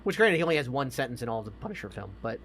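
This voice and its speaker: A man's voice: medium pitch, sing-song, rapid-fire, a bit bright, a little hiss or hum. A man chatting casually about movies as a podcast co-host, emphatic, opinionated and given to swearing.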